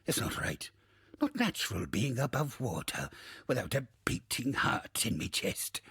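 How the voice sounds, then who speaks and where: Salty undead old pirate captain, video game role: gravel-throated, slow-rolling, swaggering and foul-mouthed. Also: male voice, medium pitch, wildly swooping pitch, fairly bright tone, no echo, studio quality, heavily acted. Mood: grudging, irritated. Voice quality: gravelly, husky, raspy. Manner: archaic pirate dialect, swaggering, theatrical, cursing. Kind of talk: video game character acting.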